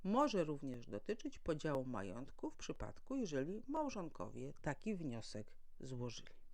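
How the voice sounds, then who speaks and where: A woman with a somewhat low, creaky voice delivers an explanatory talk on formal matters. Her intonation moves up and down in a lively way as she teaches like a legal adviser addressing the public. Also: normal speed. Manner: formal, didactic, asks and answers own questions. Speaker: legal adviser and mediator presenting.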